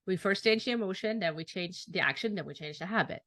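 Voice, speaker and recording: A woman's voice: on the low side, quick pace, dry recording. A woman hosting a podcast interview, affirming her guest often and chatting casually. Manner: warm affirming, frequent "mm-hmm" and "I love that". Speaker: podcast host.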